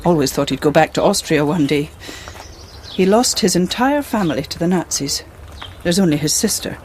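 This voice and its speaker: A woman's voice: fairly low pitch, expressive, moderate pace, a little mellow, a little hiss or hum, close to an everyday voice. An actress playing a disapproving, loyal senior woman nurse with a British accent, starchy and sceptical, in a period radio drama. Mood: matter-of-fact.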